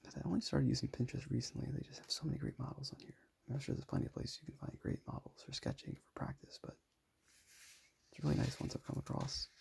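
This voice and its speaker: A young man with a raspy voice, talking casually and modestly while showing his sketches. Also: medium pitch, fairly even intonation, fast. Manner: soft-spoken, self-deprecating, rambling.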